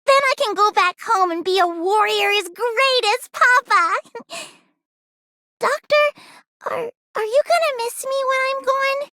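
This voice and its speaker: A very high, youthful girl's voice for a video game. She is earnest and eager, like a young trainee. The recording is dry and close. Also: unhurried, sharp tone, no room sound, full character voice. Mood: eager, bright. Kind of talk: scripted game character voice lines.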